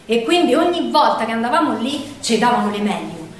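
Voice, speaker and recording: In a very reverberant space, some way from the mic, an actress plays a feisty Roman woman partisan looking back on her girlhood. Her voice is fairly high and her Roman accent is thick with everyday speech. She tells it as an emphatic theatrical monologue, over a faint layer of noise. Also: big pitch swings, brisk. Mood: earnest. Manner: colloquial, emphatic, dramatic storyteller. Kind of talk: theatrical first-person storytelling monologue. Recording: very echoey hall, distant mic, faint noise.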